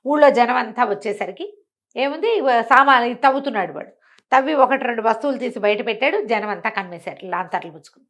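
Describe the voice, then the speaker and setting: A woman telling a bedtime story conversationally, in an expressive, explanatory way. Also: on the high side, fast talker. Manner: expressive, explanatory, acts out characters' dialogue.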